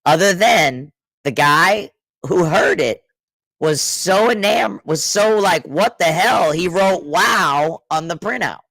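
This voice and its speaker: A man's voice: high-pitched, lively intonation, slow pace, gravelly, a bit bright, no room sound, poor audio. Sick man rambling on a podcast. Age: middle-aged. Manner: rambling, restarts and trails off. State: sick, scratchy throat. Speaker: podcast co-host. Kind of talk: casual chat.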